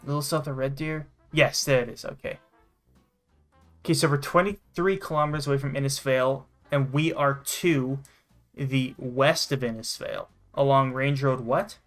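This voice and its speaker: Young dude doing casual gaming banter, excitable and sweary, mid pitch, music in the back. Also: male voice, moderate pace. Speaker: gaming channel host. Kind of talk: casual game commentary with banter.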